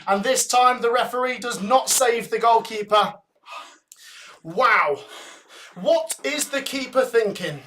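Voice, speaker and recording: A man giving live fan commentary on a match, excitable and shouting at goals, his voice going hoarse and breathless. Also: very high-pitched, slow, slight background noise. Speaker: watchalong host commentator.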